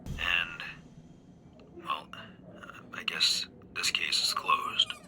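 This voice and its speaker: Sharp, swooping, reluctant male investigator. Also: low voice, wildly swooping pitch, whispered, full character voice. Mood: reluctant, resigned. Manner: halting, reluctant, formal. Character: weary male investigator dictating a case report. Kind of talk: dramatic video game acting.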